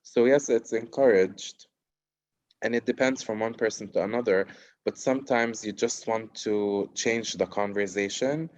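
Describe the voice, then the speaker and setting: A man from Cyprus answering as a panelist in a relaxed, reflective way, speaking over a video call. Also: lowish voice, moderate pace. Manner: reflective, plain-spoken, frequent "you know". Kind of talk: casual conversational answer. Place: at home in Gaza.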